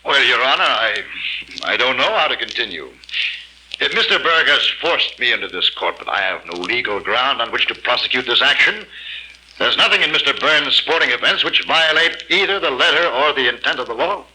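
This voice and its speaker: A self-important district attorney who talks at length in formal phrases. His voice is very bright and sits at a middle pitch. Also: expressive, brisk, rough recording. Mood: pompous. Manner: pompous, long-winded. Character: pompous male district attorney, evasive. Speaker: prosecutor. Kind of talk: old-time radio drama acting.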